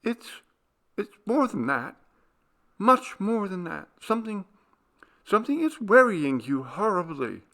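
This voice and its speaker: An anxious, loving woman, played by a man reading aloud, pleads in a voice pitched high and urgent. She is tender and emotional, a character in a classic ghost story audiobook. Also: very animated, measured pace, pauses often, a bit bright, heavily acted. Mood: urgent worry.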